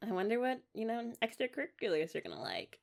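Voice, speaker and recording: A woman's voice: on the high side, wildly swooping pitch, fast talker, gravelly, darkish tone, full character voice. A young American woman playing an eager, affectionate wife in an intimate ASMR romance roleplay, rambling and coaxing in a giggly way. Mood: chatty, teasing.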